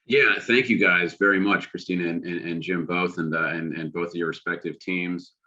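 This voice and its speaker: Deep flat-voiced man on a call. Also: normal speed. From Texas. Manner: steady, filler-heavy explaining. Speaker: oil company representative. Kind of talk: webinar presentation. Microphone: video call. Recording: dull lo-fi video call audio.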